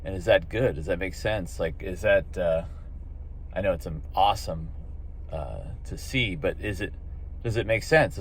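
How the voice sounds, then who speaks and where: An American man giving a casual explainer as a radio hobby vlogger, thinking aloud in a halting way and repeating phrases. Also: low voice.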